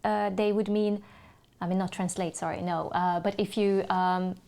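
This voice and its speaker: A Hungarian woman speaking English as a podcast guest, telling stories thoughtfully with many pauses and restarts. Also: medium pitch, flat delivery, gravelly, dark tone. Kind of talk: casual interview chat.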